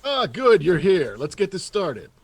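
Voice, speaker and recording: An actor playing a brusque, defensive chief of staff in an audio drama, clipped and impatient. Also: male voice, sits high, sing-song, unhurried, breathy edge, exaggerated voice. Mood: impatient, brisk. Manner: clipped, impatient, all business. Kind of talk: full-cast audio drama acting.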